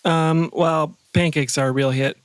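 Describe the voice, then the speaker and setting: Higher-voiced man, dry close sound. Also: fairly even intonation, unhurried, clear, resonant tone, dry recording. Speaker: podcast guest. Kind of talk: casual chat.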